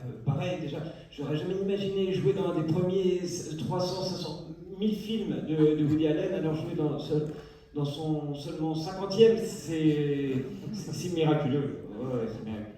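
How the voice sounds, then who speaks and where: A man's voice: on the high side, normal speed, mellow. Hesitant male actor, echoey hall. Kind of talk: speaking on stage. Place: large cinema hall. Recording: echoey hall, far from mic.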